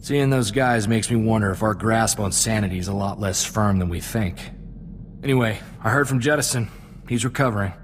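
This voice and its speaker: A man's voice: lowish voice, brisk, slight background noise, lightly acted. A man playing an earnest, loyal young sidekick in a space-adventure game, talking in a plainspoken, folksy, reflective way. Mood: troubled, reflective. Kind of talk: video game cutscene dialogue.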